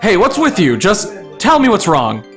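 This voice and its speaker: A man voicing a gruff, blunt but helpful big male ogre in a dramatic story reading. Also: sits high, huge pitch swings, normal speed, fairly bright tone, soft background music, pitched above the performer's own voice, exaggerated voice. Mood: reassuring. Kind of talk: dramatic fan fiction reading.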